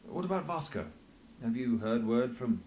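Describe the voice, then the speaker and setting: An actor playing a measured, earnest male investigator in a video game, formal and tactful. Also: mid-range voice, animated, darkish tone, slight background noise, lightly acted, low-quality recording. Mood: composed, firm. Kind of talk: dramatic video game voice acting.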